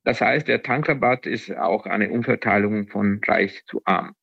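A man's voice: fairly low pitch, measured pace, gravelly, slightly rough, no echo, muffled recording. A man from Italy with an Italian accent, a non-native speaker, answering interview questions as an economist guest, hesitant and full of filler sounds.

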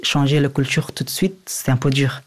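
A young man, speaking a language he learned later, answers as an interviewee. He recounts his own experience in a medium-pitched voice. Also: brisk. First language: a language other than French. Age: young adult. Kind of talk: personal testimony in an interview.

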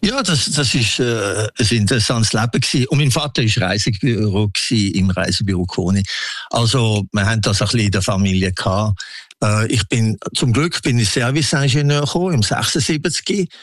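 A man in his sixties with a Swiss German accent, telling his life story as an interview guest in a halting, anecdotal way. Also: fairly low pitch, dry recording, clean studio sound. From Switzerland. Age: 60s.

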